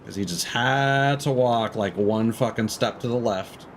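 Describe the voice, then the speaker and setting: A man's voice: mid-range voice, a little slow, slight background noise. A man doing casual live stream commentary on a game, rambling and swearing casually.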